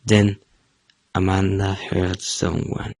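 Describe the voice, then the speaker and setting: A man's voice: sits low, completely flat delivery, slow pace, noisy background. A young man, a native Spanish speaker with a Chilean accent, narrating a scripted horror radio play in a dramatic, suspenseful way. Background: prominent music.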